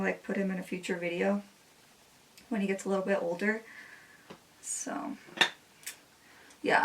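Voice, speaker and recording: A woman's voice: medium pitch, distant mic, poor audio. A woman in her twenties, a native Spanish speaker, chatting casually while doing her makeup, with many "like" fillers. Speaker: beauty vlogger.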